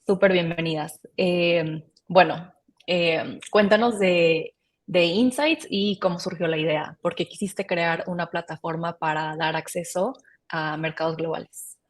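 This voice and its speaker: Woman host on a video call, fairly low voice, polite and steady, guides the interview. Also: measured pace. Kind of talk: live webinar interview.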